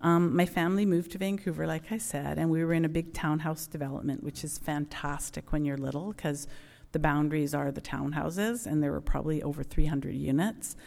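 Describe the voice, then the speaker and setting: A woman's voice: low-pitched, steady tone, a little fast, vocal fry, darkish tone. Woman, middle-aged, church testimony, casual and reflective, lots of ums, long reverb. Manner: conversational, frequent ums, reflective. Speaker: church member giving testimony. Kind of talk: personal testimony.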